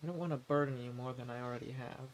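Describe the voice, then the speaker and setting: A man playing a friendly, eager adventurer and forager in a fantasy roleplay audio drama, speaking politely and earnestly with gentle hesitation. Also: mid-range voice, fairly even intonation, fast talker, slight background noise, natural voice. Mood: friendly, hesitant. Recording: clear outdoor nature ambience with birdsong. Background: forest ambience, birds.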